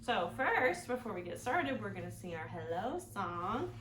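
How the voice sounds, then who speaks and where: A woman's voice: medium pitch, big pitch swings, a little slow, fairly bright tone. A woman hosting an interactive storytime for small children, with a playful, sing-song manner and little questions to her young listeners. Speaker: children's library storytime host. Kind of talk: interactive storytime for small children, songs and picture-book reading. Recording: echoey room, clear background noise.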